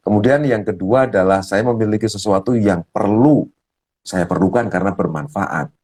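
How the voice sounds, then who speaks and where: A man speaking as a coach, explaining ideas step by step in a slow, thoughtful way with many fillers. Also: fairly low pitch, a little mellow. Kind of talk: explaining ideas in a talk show.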